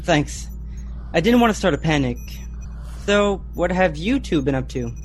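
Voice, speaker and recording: A take-charge teenage boy played by a young male actor in a sci-fi radio drama. His delivery is reassuring, with a very lively range of pitch. There is audible noise behind the voice. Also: moderate pace. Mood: businesslike. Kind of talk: sci-fi radio play acting.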